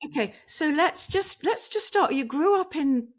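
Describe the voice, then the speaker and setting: Woman host, bit high-pitched, online interview, gushy intros, call audio. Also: expressive, measured pace. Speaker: podcast host. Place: home in England. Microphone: video call.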